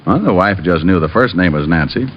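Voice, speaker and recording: Hardboiled radio private eye, dry wisecracks, flirtatious, lowish animated voice, dull vintage recording. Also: male voice, fairly quick. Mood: dry, matter-of-fact. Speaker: lead actor and narrator. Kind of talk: 1940s-50s radio detective acting, hardboiled narration. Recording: muffled old radio recording with music.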